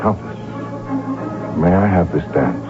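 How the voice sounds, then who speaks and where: An actor playing a courtly, smitten crown prince in a radio drama, speaking in a formal, measured, gently wry way. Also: male voice, low-pitched. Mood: gallant, amused. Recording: tinny vintage radio broadcast, music behind.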